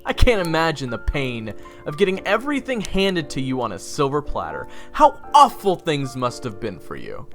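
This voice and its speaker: A man's voice: fairly high pitch, wildly swooping pitch, normal speed, hardly any pauses, a bit bright, faint music behind, heavily acted. A man acting as a bitter, defiant young adventurer in an audio roleplay, with a biting, sarcastic manner.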